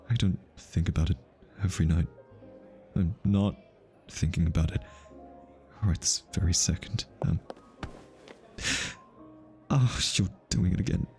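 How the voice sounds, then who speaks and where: A man playing an easily flustered, defensive, lovestruck hitman in an intimate ASMR roleplay, stammering, sighing and slipping into breathy whispers. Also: on the low side, a little mellow, exaggerated voice. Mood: flustered, pleading.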